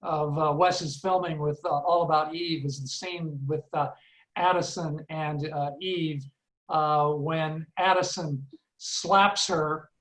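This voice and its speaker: A man hosting a casual online discussion from a room on a video call, pausing often between phrases. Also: fairly high pitch, steady tone, fairly bright tone. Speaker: seminar host and moderator. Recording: roomy, echoey call audio.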